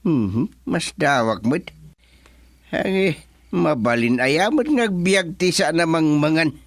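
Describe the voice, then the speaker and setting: An actor playing a forgetful, grumbling elderly father in an Ilocano comedy radio drama, with a Filipino accent and drawn-out, sighing interjections. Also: a little high, expressive, dry recording, studio quality, heavily acted. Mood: bemused, grumbling.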